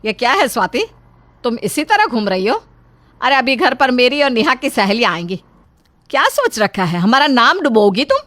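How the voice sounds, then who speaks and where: A woman voicing a vain, bossy middle-aged mother-in-law, loud and imperious, in a storybook tale. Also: fairly high pitch, big pitch swings, normal speed, no room sound, pitched above the performer's own voice. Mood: angry. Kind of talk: storybook character acting.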